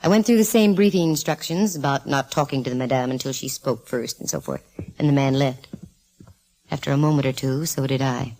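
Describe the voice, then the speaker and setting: A woman's voice: very deep voice, a little fast, mellow, low-quality recording. A woman playing a gutsy, wisecracking private detective in 1940s radio drama, with a breezy, sardonic, hard-boiled manner. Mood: wry, storytelling. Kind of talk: 1940s radio acting with first-person narration.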